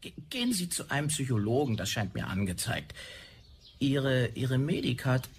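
A patronising male technician in a sci-fi audio drama. He speaks officiously at medium pitch, with slight hesitations, over faint ambient noise. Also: very animated, moderate pace. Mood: condescending.

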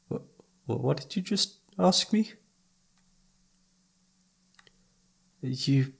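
Guy acting as a shy flustered young male incubus, slow halting talk, bit gravelly, romance asmr roleplay thing. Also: mid-range voice, darkish tone. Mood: bashful, yearning. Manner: stammering, hesitant, halting.

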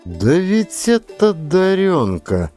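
A male actor voicing a surly, quarrelsome village thief, grumbling gruffly in an audiobook play. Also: high voice, wildly swooping pitch, slow, warm tone, faint music behind, voice pushed up, full character voice. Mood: astonished.

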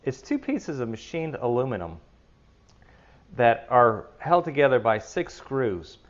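A man's voice: mid-range voice, very animated, a little slow, highs cut off. A middle-aged man hosting a casual woodworking video, chatty and enthusiastic as he demonstrates a tool in his kitchen. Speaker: woodworking channel host. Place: home kitchen, indoors. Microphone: lapel mic.

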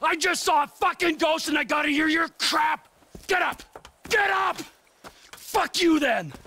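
An actor playing a violent, unpredictable middle-aged criminal in a video game, lurching between mocking whispers and screamed rage. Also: male voice, sits high, sing-song, moderate pace, bright, forceful tone, breathy edge, heavily acted. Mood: screaming rage. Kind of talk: video game cutscene acting.

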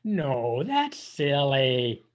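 An older man reading a picture book aloud to young children, with drawn-out emphasis and playful questioning. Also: mid-range voice, very animated. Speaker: volunteer storybook reader for young children. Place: indoors, on a video call. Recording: dull, muffled webcam audio.

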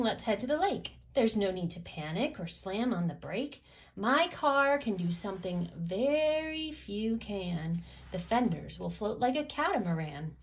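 A woman with a medium-pitched voice reads a rhyming picture book to children. Her delivery is expressive and follows the bounce of the verse, with clear stresses on key words. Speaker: host reading a picture book aloud. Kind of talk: expressive rhyming storybook read-aloud for children.

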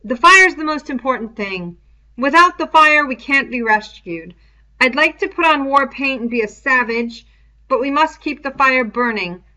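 Woman as an earnest, high-voiced boy leader. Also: lively intonation, pushed and bright. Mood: urgent, insistent. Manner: emphatic, halting, repeating himself. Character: earnest young boy leader struggling to persuade. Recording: dull, muffled lo-fi home recording.

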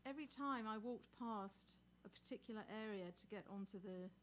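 A woman's voice: mid-range voice, brisk, a bit bright, slight background noise. A middle-aged woman speaking informally and conversationally, introducing a song to a small audience through a stage microphone. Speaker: singer-songwriter. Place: small cluttered bar stage, indoors. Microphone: microphone on a stand.

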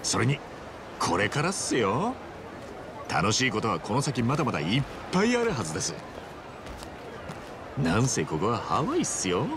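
A man's voice: mid-range voice, huge pitch swings, normal speed, pauses often, background noise. An actor playing a boisterous, big-hearted ex-yakuza man in a video game, theatrical and earnest. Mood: upbeat, earnest. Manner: theatrical, earnest, rough-and-ready. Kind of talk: Japanese video game voice acting.

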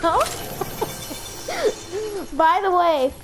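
High-pitched woman, giggly and excitable, casual game host chatter, noticeable noise behind the voice. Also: extremely slow. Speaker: host, gaming YouTuber. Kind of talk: casual gameplay commentary.

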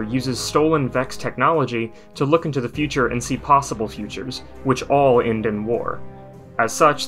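A man's voice: mid-range voice, a bit bright. Man narrating game lore over music. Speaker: video creator and narrator. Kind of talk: scripted lore commentary.

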